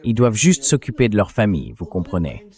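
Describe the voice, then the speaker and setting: A man's voice: lowish voice, sing-song, brisk, a little mellow. A man's AI dubbing voice delivering blunt, indignant, sarcastic political commentary. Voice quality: synthetic AI dubbing voice.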